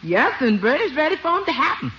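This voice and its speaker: An actress playing an exuberant, warm-hearted household cook with a folksy manner in a 1950s radio comedy. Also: female voice, high-pitched, big pitch swings, fast. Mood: excited, proud. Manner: folksy, speaks of herself by name. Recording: muffled old radio recording, faint hiss.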